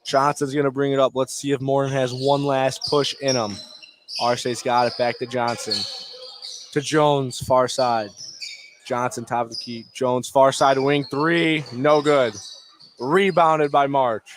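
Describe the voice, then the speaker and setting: A man does live play-by-play from inside a gym, with slight background noise and bouncing balls. His voice sits at an average pitch. He calls the action in short, clipped bursts, as a radio basketball announcer does. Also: fairly even intonation, measured pace. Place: indoor high school gym, courtside.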